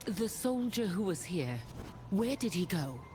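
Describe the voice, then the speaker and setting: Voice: medium pitch, sing-song, unhurried, mellow, slight background noise. An actor voicing a resolute, righteous holy crusader hero in a video game, stern and protective in delivery. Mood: stern, businesslike.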